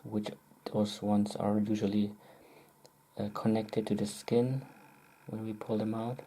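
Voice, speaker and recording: A person muttering a casual, halting running commentary, often clearing the throat. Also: medium pitch, level tone, warm tone. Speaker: video maker demonstrating close-up specimens.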